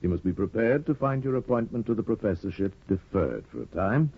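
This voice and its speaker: A man playing a smooth, sly middle-aged judge in a 1950s radio drama, suave and measured. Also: on the low side, big pitch swings, full character voice. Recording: dull old radio broadcast.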